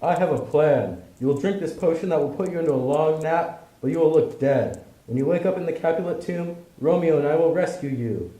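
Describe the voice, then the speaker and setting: A man's voice: on the high side, brisk, few pauses, mellow, roomy sound, lightly acted, low-quality recording. A man voicing a scolding, scheming friar in a comic puppet play, in an admonishing manner.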